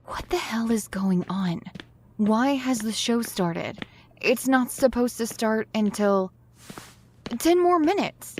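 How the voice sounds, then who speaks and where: A woman's voice: fairly high pitch, lively intonation, slow pace, slight background noise. An actress playing a flustered, harried woman in an audio drama, speaking expressively. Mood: upset, disbelieving. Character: flustered, harried talk show woman, often late.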